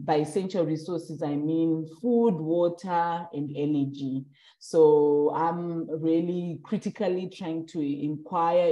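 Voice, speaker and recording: A woman's voice: sits low, dark tone, reverberant, poor audio. A young adult woman from Southern Africa, interviewing in a casual, hesitant way with many fillers.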